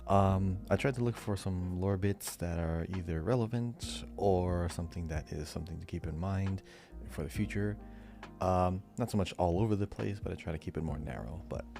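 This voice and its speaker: Deep, creaky male quiz host. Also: moderate pace, dark tone, faint music behind. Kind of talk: casual quiz hosting, reading out questions.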